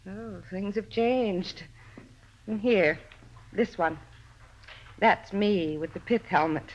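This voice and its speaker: A woman's voice: medium pitch, takes it slowly. A woman playing a talkative, vain older landlady who once acted, theatrical and self-important, in a radio drama. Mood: proud, nostalgic. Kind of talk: 1950s radio drama acting. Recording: muffled old-time radio recording.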